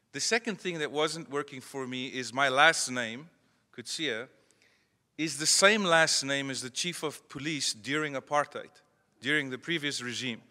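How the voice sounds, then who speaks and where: A man's voice: mid-range voice, sharp tone. A South African man, English his second language, giving a conversational keynote talk to an audience with self-deprecating humor. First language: not English. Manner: conversational, self-deprecating, acts out phone calls. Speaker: keynote speaker. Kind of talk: motivational speech to an audience. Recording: echoey hall.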